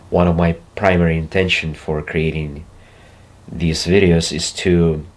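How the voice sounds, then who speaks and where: A man with a Russian accent, a native Russian speaker, talking slowly and reflectively to camera and pausing to search for words. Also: sits low. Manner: slow, deliberate, searching for words with pauses. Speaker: self-development video host. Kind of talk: slow reflective monologue to camera.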